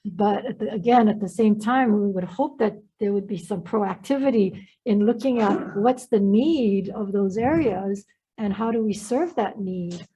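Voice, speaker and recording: A woman chairing a legislative hearing who talks quickly and lets her phrases trail off. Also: mid-range voice, warm tone, husky, poor audio. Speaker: committee chair. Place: hearing room.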